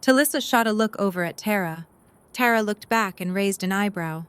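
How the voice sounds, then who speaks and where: A woman's voice: mid-range voice, normal speed, a bit bright, smooth. A woman reading scripted story narration as the narrator of an audio drama.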